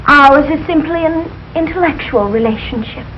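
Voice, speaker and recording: An actress playing a starry-eyed, earnest sixteen-year-old daughter, affectedly proper, in a 1940s radio sitcom. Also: female voice, high voice, normal speed, natural voice. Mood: dreamy, lofty. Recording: muffled, noisy old radio recording.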